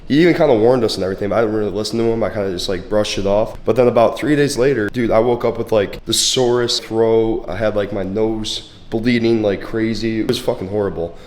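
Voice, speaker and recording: A young man with a somewhat low voice, stuffed up and a little hoarse from a cold. He talks in a loose, laid-back way, swears freely and fills his sentences with "like" as he vlogs about his training. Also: fairly even intonation, quick pace, few pauses, darkish tone. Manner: laid-back, profane, full of "like" fillers. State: congested, slightly hoarse from a cold. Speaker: fitness vlogger. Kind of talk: casual vlog chat. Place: bedroom at home, then a gym.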